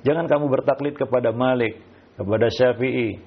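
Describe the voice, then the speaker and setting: A man giving religious answers as an Islamic teacher, speaking haltingly with repeated filler tags and quoted Arabic phrases. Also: medium pitch, breathy edge. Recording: muffled, dull lo-fi audio.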